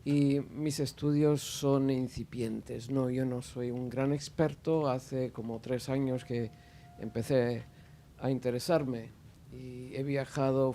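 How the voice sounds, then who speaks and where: A man's voice: medium pitch, warm tone. A man speaking off the cuff at a panel discussion, hesitant, with many fillers and restarts. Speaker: audience member at a panel. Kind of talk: spontaneous spoken remarks at a panel discussion.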